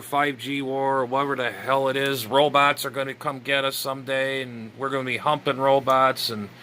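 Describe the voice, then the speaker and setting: A man giving rambling, casual reaction commentary as a vlogger, with a halting, stammering, grumbling delivery. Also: medium pitch, bright, forceful tone, slight background noise. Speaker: amateur radio vlogger.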